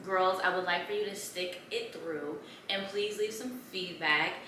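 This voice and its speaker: A young woman co-hosts a podcast, talking in a laid-back, slangy way and laughing easily. Her tone is very bright and sharp. She sounds a little far from the mic in a very echoey room, with faint noise behind her. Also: lowish voice, a little slow. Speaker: podcast co-host. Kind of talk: casual chat.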